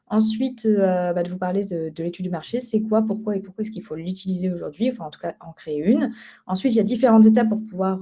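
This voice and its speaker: A woman's voice: mid-range voice, mellow. A young woman co-hosting a live webinar from an office, explaining things in a conversational way with frequent hesitations. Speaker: project officer and webinar co-host. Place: office at headquarters.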